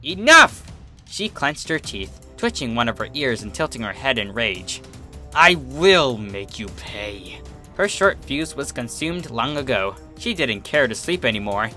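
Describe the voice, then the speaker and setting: A man narrates fan fiction with a lot of movement in his pitch. His voice is bright and forceful, the delivery dramatic and scripted, with soft music underneath. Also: big pitch swings, moderate pace, hardly any pauses. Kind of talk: expressive scripted story narration.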